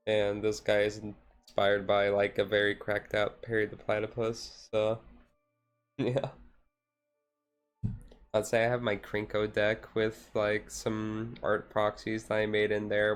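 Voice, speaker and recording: A male streamer talks in a fairly low voice at a very unhurried pace. His delivery stays flat and rambling, with a hesitant, laid-back feel, like someone chatting while his hands are busy. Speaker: live streamer. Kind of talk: casual chat while doing a card-game activity.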